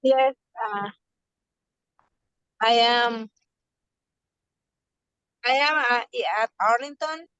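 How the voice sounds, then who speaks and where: A woman who teaches high school, introducing herself haltingly and stumbling over her words on a video call. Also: a bit bright, smooth, dry recording. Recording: dull dry call audio.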